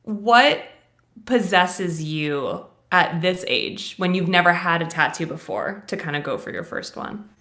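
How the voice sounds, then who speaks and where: A woman in her thirties whose first language is Russian, reading viewer questions and telling self-mocking stories in a lively way. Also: on the low side, measured pace. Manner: animated, self-mocking storyteller. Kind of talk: casual live Q&A chat.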